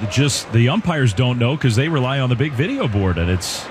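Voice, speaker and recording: A man's voice: medium pitch, big pitch swings, normal speed, noisy background. A man giving chatty, analytical baseball color commentary from the broadcast booth.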